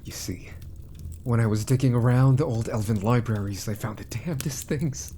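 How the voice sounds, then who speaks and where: A man playing an arrogant, scheming immortal mage minister in an ASMR roleplay, haughty and deliberate, trailing into hushed asides. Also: medium pitch, a little hiss or hum, lightly acted. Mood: smug, gloating. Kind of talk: ASMR roleplay villain monologue.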